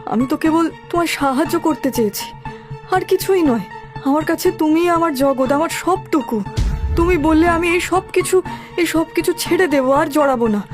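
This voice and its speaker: A woman's voice: sits high, normal speed, close to an everyday voice. A woman playing a devoted, dignified landlord's wife in a cartoon, in an earnest, melodramatic manner. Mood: pleading. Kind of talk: Bengali cartoon voice acting. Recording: music behind the voice.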